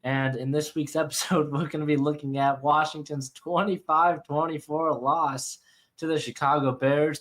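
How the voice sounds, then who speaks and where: A man's voice: mid-range voice, rough recording. A young man hosting a football podcast, congested from a cold, rambling through a casual game breakdown and often repeating and correcting himself. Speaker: sports podcast host.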